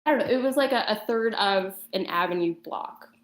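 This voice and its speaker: A woman giving a personal account as a guest, in casual conversational storytelling with somewhat halting, hesitant phrasing. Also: medium pitch. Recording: roomy, echoing voice chat.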